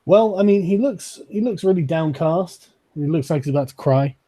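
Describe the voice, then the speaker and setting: A man narrates a tabletop game as game master, his voice slightly high yet warm and husky. He talks things through casually and laughs often. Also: lively intonation, moderate pace.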